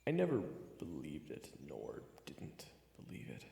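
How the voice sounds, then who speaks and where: A man with an extremely low, creaky voice delivers dramatic scripted fantasy narration. His measured, theatrical reading swings expressively, sometimes dropping hushed. It sits in long reverb with prominent music behind. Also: wildly swooping pitch. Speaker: storyteller and narrator of an audio roleplay series. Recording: roomy sound with long reverb.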